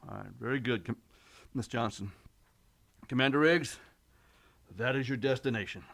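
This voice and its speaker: A man playing a gruff, stoic starship captain in a science fiction radio play, giving clipped, authoritative orders. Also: mid-range voice, moderate pace, a bit bright. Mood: commanding.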